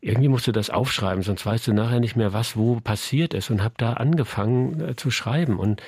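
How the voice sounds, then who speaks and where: A man in his fifties from Saarland, chatting in a relaxed radio interview as a guest and telling stories with frequent fillers. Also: medium pitch, fairly quick, husky, no echo, clean studio sound. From Saarland, Germany. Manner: chatty storyteller, frequent fillers, light laughs. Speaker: crime novelist and bus driver, interview guest.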